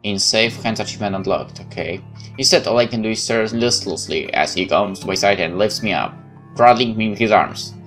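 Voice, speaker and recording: A man reading a horror visual novel aloud as a gaming host, with dramatic, expressive delivery and exclamations. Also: lowish voice, a little fast. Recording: music behind the voice.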